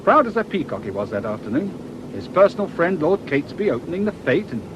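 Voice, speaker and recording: An actor playing a shrewd British police inspector in a radio drama, with a dry, colloquial, knowing manner. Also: male voice, sing-song, fairly quick, highs cut off. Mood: dry, explanatory. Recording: noisy outdoor ambience, vehicle rumble.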